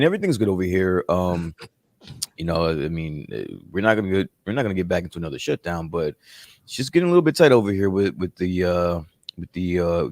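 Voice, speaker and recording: Deep-voiced relaxed man, dry sound. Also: no room sound. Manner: relaxed, blunt, filler-heavy. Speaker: podcast co-host. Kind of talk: casual chat.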